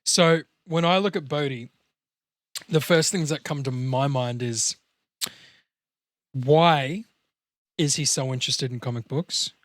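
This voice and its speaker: A man's voice: mid-range voice, measured pace, long pauses, a bit bright, no room sound. A man hosting a casual talk, explaining acting in a direct, coaching way with casual swearing. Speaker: podcast host explaining acting.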